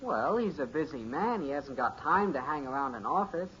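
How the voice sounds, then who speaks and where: Eager, naive teenage son, bright and earnest, 1950s radio comedy, dull noisy recording. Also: male voice, fairly high pitch, moderate pace. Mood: confident. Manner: earnest, excitable, slangy. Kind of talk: 1950s radio sitcom acting. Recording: muffled, hissy old radio recording.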